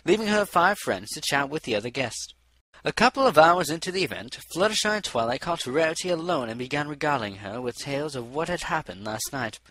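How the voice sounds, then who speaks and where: A man's voice: a little high, lively intonation, brisk, a bit bright, dry recording, low-quality recording. A man reading a scripted story as an audiobook narrator.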